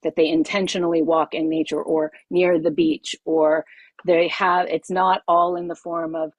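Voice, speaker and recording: A middle-aged woman, a yoga teacher, leading a group masterclass over a video call, emphatic and expressive, repeating her key phrases. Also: lowish voice, moderate pace, dry recording, highs cut off.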